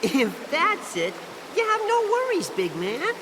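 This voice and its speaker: High, swooping, cocky little elf. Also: female voice, huge pitch swings, a little slow, big-room sound, noisy background, heavily acted. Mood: cocky, cheeky. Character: tiny cocky male elf sidekick, boastful and cheeky. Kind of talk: animated video game voice acting.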